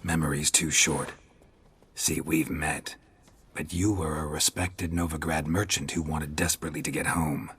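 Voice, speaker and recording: A man playing a stern, gruff wandering mercenary in a video game, husky and gravelly, speaking tersely in a dry deadpan. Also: rumbling low, normal speed, full character voice. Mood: dry suspicion. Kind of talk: video game character dialogue.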